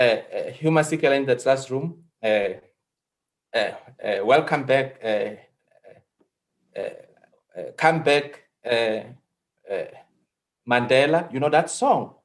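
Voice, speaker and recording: A man with a South African accent, a native Setswana speaker, speaking as a history professor on a panel in a halting, earnest, emphatic way. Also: medium pitch. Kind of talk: panel discussion.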